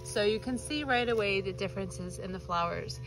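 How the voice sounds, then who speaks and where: A woman's voice: medium pitch, normal speed, music in the background, low-quality recording. A woman in her thirties with a stuffy, nasal voice, chatting casually as she hosts a gardening vlog outdoors, filmed on a phone at arm's length. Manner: chatty, friendly explainer. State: congested, nasal from allergies. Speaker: gardening channel host. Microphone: phone camera held at arm's length.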